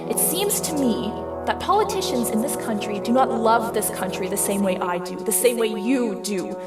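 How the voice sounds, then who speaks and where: A woman's voice: fairly high pitch, close to an everyday voice. A woman playing an impassioned activist, giving a grave, declamatory political speech for a propaganda ad. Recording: distant voice under loud music.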